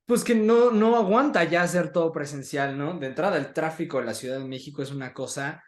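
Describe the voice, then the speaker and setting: A Mexican man with a somewhat higher-pitched voice hosts a relaxed interview. He talks informally and chattily, as in an everyday conversation with his guest. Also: fairly quick. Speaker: interview host.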